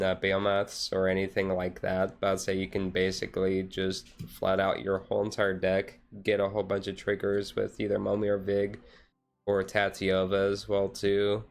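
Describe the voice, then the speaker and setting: A man streamer chatting casually in a relaxed, rambling way on a chill hobby stream. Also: low-pitched.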